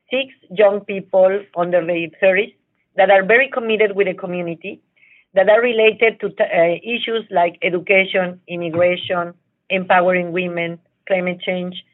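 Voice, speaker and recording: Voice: mid-range voice, steady tone, normal speed. Person on a tinny phone line. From Mexico. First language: Spanish. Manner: earnest, searching for words. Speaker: guest representing an award show.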